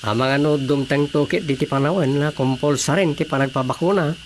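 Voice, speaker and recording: A man acting a dry, argumentative village man in a radio comedy drama, with an Ilocano Filipino accent and a gravelly voice. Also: fairly high pitch, fairly even intonation, a little fast, faint music behind, close to an everyday voice. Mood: matter-of-fact.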